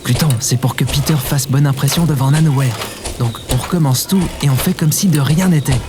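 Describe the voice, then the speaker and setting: A man's voice: medium pitch, brisk, noisy background, lightly acted. A man playing a casual, sarcastic adventurer who talks in a colloquial, grumbling way in an audio drama. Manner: colloquial, wry, hesitant.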